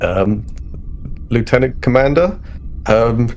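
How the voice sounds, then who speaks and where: A man's voice: medium pitch, animated, takes it slowly, darkish tone, a little hiss or hum. A man voicing a nervous, guilty young starship ensign who stammers and apologises in a tabletop game. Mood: flustered.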